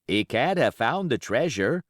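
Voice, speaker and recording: A man voicing a grumbling, superstitious old pirate in rough sailor dialect for an audiobook. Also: mid-range voice, big pitch swings, measured pace, no room sound, clean studio sound, pitched above the performer's own voice. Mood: excited. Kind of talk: audiobook character voice.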